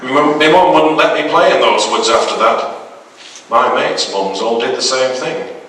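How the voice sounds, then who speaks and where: A man's voice: medium pitch, normal speed, a bit bright. A man giving a dramatic reading of a macabre short story as a storyteller. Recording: very echoey hall, distant microphone.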